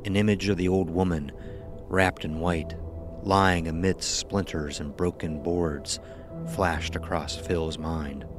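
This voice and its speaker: Slow, deep male horror narrator over music. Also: fairly even intonation. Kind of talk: scripted horror story narration. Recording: music behind the voice.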